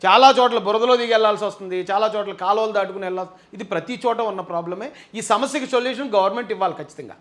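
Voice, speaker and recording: A man presents live commentary in a very high-pitched voice. He talks quickly and emphatically, arguing his points and pressing viewers with rhetorical questions. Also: fairly bright tone.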